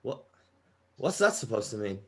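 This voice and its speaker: A man playing a meek, nervous young bass player, speaking hesitantly in a script read-through. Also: very animated, normal speed, fairly bright tone, soft background music. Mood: uneasy, defensive.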